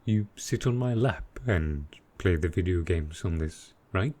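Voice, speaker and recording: A man's voice: deep voice, expressive, darkish tone. A man voicing an affectionate, possessive dragon boyfriend who is baffled by technology, speaking tenderly and hesitantly in an intimate roleplay audio. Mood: curious, puzzled. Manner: slow, tender, hesitant speech.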